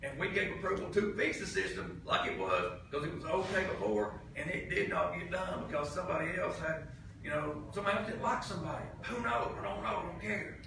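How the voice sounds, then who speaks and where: A man's voice: high-pitched, sing-song, a little fast, gravelly. A county commissioner, a man with a Southern US accent, speaking heatedly at a public meeting in a blunt, folksy, exasperated way and repeating his points for emphasis. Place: indoor public meeting room. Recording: noisy, very echoey meeting room, distant mic.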